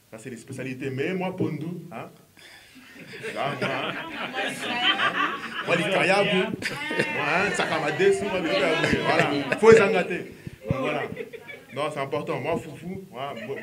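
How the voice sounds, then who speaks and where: A male artist answering press questions in a relaxed, repetitive way, with laughing asides. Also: medium pitch, distant mic, noisy background. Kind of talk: casual press conference answers.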